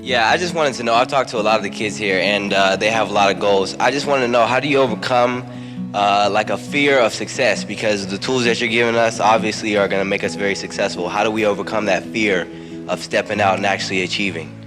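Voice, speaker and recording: Earnest male audience questioner, quick delivery, lowish voice. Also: music in the background. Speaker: audience member. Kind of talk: asking a question.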